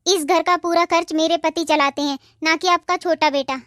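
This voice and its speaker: A woman playing a sharp-tongued, bossy young sister-in-law in a cartoon moral story, with a sarcastic, scolding manner. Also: very high-pitched, steady tone, normal speed, no room sound, studio quality. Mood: scornful.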